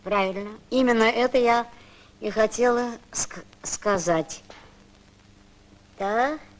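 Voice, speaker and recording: Very slow, musing elderly grandmother. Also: fairly high pitch. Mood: chiding, conceding. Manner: slow, halting, musing aloud. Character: elderly grandmother puzzling over crossword clues. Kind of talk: comic acting in children's educational newsreel. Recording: noisy old Soviet film soundtrack.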